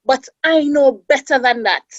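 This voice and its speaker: An actress playing a fiery, bitter wronged wife with a Caribbean accent in a radio drama. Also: female voice, high voice, unhurried, dull audio. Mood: bitter, accusing.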